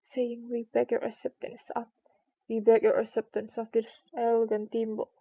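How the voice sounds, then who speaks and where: A woman's voice: fairly high pitch, little pitch movement, moderate pace, gravelly, husky, no room sound, dull audio. A woman voicing a grave, pompous dodo bird from a children's storybook, speaking in declarations. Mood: solemn. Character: solemn, pompous dodo bird, leader of the party.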